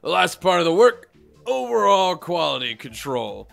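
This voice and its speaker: A man's voice: sits high, very animated, moderate pace, vocal fry, a bit bright, soft background music, full character voice. Man voicing a gritty, jaded woman team leader. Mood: instructive, crude. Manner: theatrical, crude, world-weary. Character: gruff, foul-mouthed, jaded female manga team leader. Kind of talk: comedic visual novel dub.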